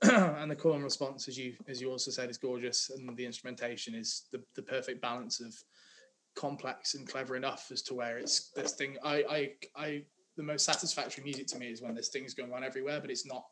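A man's voice: mid-range voice, a bit bright, a little hiss or hum. A young man giving a thoughtful, agreeable opinion on a song, often restarting his sentences. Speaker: podcast co-host. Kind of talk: casual music review chat.

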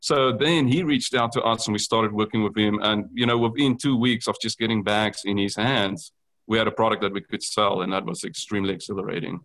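A man's voice: on the low side, fairly even intonation, fairly quick, a bit bright, poor audio. A man with a South African accent, a company founder, explaining his business as a podcast guest in an earnest way, with many ums. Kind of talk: podcast interview.

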